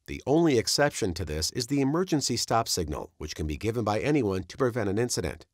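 A man reading scripted instructional narration as a training presenter, in a measured, instructive manner. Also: fairly low pitch, big pitch swings, no echo, clean studio sound.

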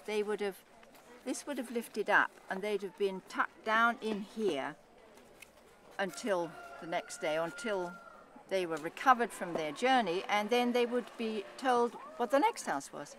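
Older woman, middle register, informal explainer, halting, matter-of-fact, recorded outdoors. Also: mid-range voice, a bit bright, slight background noise. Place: outdoor puppet booth at a farm.

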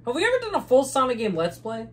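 A loud, excitable young man co-hosting a casual channel update in a small green-screen room, laughing often. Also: very high-pitched, fast. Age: young adult. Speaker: channel co-host. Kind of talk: casual chat.

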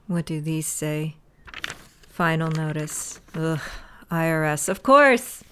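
A woman's voice: low-pitched, animated, slow, a bit bright, a little hiss or hum. A woman acting a stressed cousin who runs a failing shop, stern and exasperated. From United States. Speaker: shop owner character. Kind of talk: audio drama acting.

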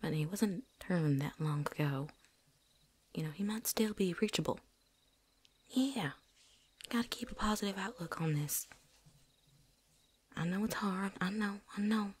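A woman's voice: medium pitch, a little mellow, slightly rough. A woman playing a chatty, cocky, seasoned vampire hunter in a whispered ASMR roleplay, rambling folksily. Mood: sympathetic, frank. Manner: folksy, rambling, with drawn-out asides.

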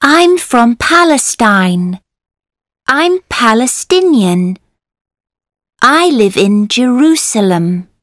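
A woman reading textbook dialogue lines slowly and carefully as a model for learners. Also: on the high side, sing-song, a bit bright, no echo, clean studio sound.

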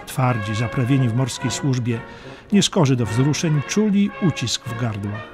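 Guy reading a history audiobook, kinda high voice, measured dramatic storytelling, music underneath. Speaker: audiobook narrator. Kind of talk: scripted historical documentary narration. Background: music under the narration.

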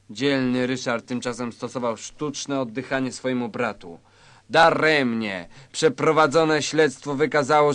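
A man narrating a scripted satirical story in a measured, mock-solemn manner. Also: medium pitch, fairly bright tone, dry recording.

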